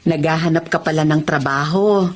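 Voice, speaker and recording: A woman playing a generous, encouraging business owner in a radio drama, with a warm manner. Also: low voice, normal speed, natural voice. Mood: generous, reassuring. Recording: background noise and ambience.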